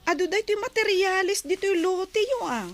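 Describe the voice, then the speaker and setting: A woman's voice: extremely high pitch, normal speed, no room sound. A woman playing a suspicious, easily exasperated woman in an audio drama, indignant and challenging. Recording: dry, with music underneath.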